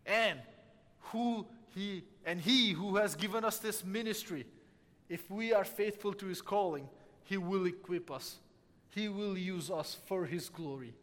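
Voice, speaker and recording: Guy preaching, bright forceful tone, repeats lines for emphasis. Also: sits high, measured pace, long reverb tail. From Nepal. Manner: earnest, repeats key phrases for emphasis. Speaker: missionary preacher. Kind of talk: sermon.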